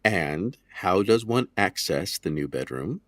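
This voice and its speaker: An actor playing a smooth, condescending estate lawyer in an audio drama, with precise, formal, patronizing speech. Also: male voice, sits low, expressive, unhurried, fairly bright tone, no room sound, studio quality. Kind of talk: audio drama acting, period dialogue.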